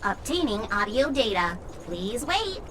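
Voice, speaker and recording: Robot guard from a video game, super slow formal system announcements, no feeling. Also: female voice, fairly high pitch, slight background noise. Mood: formal, emotionless. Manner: flat, formal machine announcements. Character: emotionless robot sentry guarding an entrance. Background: music.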